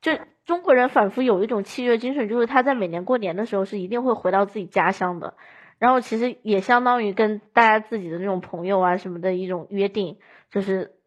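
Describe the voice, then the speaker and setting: A woman's voice: mid-range voice, fast. A young adult woman in a casual podcast chat, speaking in a sensible, explanatory way.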